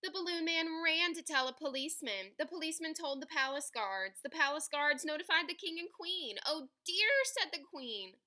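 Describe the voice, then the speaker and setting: A woman reading a children's picture book aloud in an animated, dramatic way, stretching key words for emphasis. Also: sits high, moderate pace, few pauses. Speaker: read-aloud storyteller.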